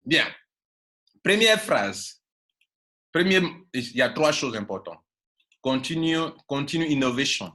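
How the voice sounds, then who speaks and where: An Ivorian man with a mid-pitched voice coaches an online class in an informal style. His accent is Ivorian, with Baoulé as his mother tongue. He drives points home emphatically, repeating himself and putting rhetorical questions to his listeners. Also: big pitch swings, measured pace, pauses often, sharp tone. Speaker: network marketing coach. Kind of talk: informal online training talk, reading and translating slides.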